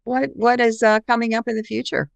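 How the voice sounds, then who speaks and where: A woman's voice: fairly high pitch, little pitch movement, fairly quick, gravelly, no room sound. A woman hosting a podcast, talking casually as she interviews a guest.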